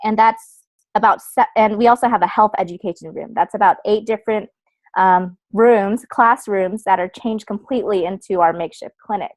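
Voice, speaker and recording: Young woman guest speaker, casual and chatty with lots of fillers, mid-pitched voice, dry close recording. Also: normal speed, dry recording, poor audio. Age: young adult. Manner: chatty, uses many fillers like "like" and "so". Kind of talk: casual personal talk.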